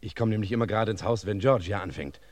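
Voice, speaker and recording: An actor playing a long-winded, self-important cook giving a hesitant witness account in a German radio crime play. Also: male voice, lowish voice, fast talker, darkish tone, no room sound, close to an everyday voice. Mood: rambling. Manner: rambling, circumstantial, hesitant.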